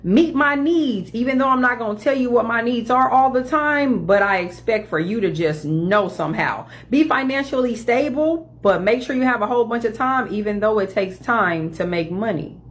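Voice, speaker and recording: A woman giving an opinion monologue, mocking demands in quick sarcastic bursts. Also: a little high, expressive.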